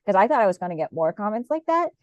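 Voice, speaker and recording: Fast-chatting slightly nasal woman. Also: medium pitch, big pitch swings, a little mellow, no echo, dull audio. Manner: chatty, many fillers and restarts. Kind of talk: casual conversation.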